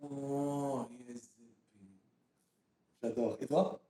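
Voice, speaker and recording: A man's voice: medium pitch, flat delivery, extremely slow, dark tone, roomy sound. A man with a slight Arabic accent who learned French at school, bantering playfully and goofily on a livestream. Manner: playful, goofy, joking. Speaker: co-streamer.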